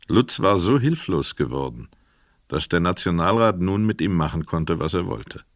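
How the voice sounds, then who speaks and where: A man narrating a crime radio play as scripted literary narration, in a sober, measured and detached manner. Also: deep voice, no room sound, studio quality.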